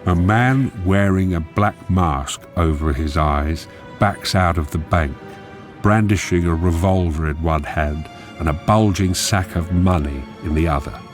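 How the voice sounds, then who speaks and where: An actor as a measured, deliberate narrator reading screen directions in a radio drama. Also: male voice, sits low. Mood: measured, suspenseful. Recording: music behind the narration.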